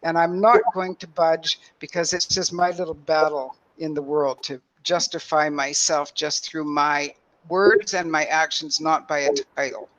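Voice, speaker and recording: A woman in her late sixties with a deep voice, telling personal stories emphatically and acting out conversations. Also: fairly even intonation, measured pace, hardly any pauses, rough recording. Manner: emphatic, acts out quoted exchanges. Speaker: roundtable panelist. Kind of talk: animated personal storytelling.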